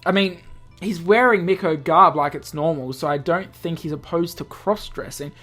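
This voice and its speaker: Woman, lowish voice, story narration, let's-play reading, music underneath. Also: moderate pace, fairly bright tone. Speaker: let's-play host reading a visual novel aloud. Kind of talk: expressive read-aloud narration.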